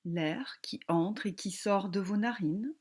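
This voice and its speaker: A woman yoga teacher guiding a relaxation meditation in a calm, gentle, soothing manner with long pauses. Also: mid-range voice, slightly rough.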